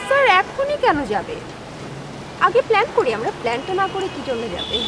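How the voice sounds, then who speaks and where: Outspoken young woman for TV drama: very high, lively voice, easily irritated. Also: brisk, background noise, full character voice. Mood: objecting. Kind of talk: Bangla TV drama acting.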